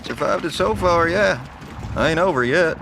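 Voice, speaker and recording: An actor playing a wounded, laconic survivalist in an audio drama, talking in a dry, folksy, unhurried way. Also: male voice, a little high, animated, vocal fry. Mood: dry, guarded. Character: gruff, laconic male survivalist metal worker, wounded. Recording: noisy outdoor vehicle ambience.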